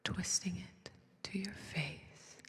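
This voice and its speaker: A woman whispering a scripted ASMR fantasy story softly and deliberately, with dramatic pauses, close on a binaural microphone. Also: fairly low pitch, long reverb tail.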